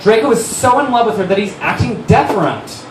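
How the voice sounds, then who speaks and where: Man putting on a petulant high-pitched teenage girl. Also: big pitch swings, fairly quick. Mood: petulant, defensive. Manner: petulant, defensive. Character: indignant teenage girl fan-fiction author scolding her critics. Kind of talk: comic dramatic reading. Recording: echoey distant stage recording, noisy.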